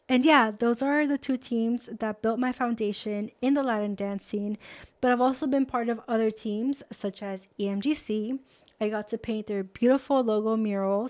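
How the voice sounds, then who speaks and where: A woman's voice: on the high side, darkish tone. A woman in her twenties from Los Angeles, hosting and introducing herself in a chatty, upbeat way with a few Spanish words mixed in. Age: 20s. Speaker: host. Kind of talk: friendly scripted video introduction.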